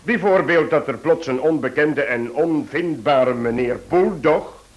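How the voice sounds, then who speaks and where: An actor playing a polite, sober man with a courteous, measured, theatrical manner in an old radio play. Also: on the high side, highs cut off, close to an everyday voice. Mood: cautioning. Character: polite, sober latecomer among uneasy companions.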